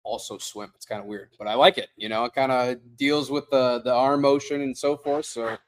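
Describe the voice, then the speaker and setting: A man's voice: mid-range voice, fairly even intonation, normal speed, a little hiss or hum. A man hosting a casual podcast interview, laid-back and heavy on fillers. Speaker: podcast host.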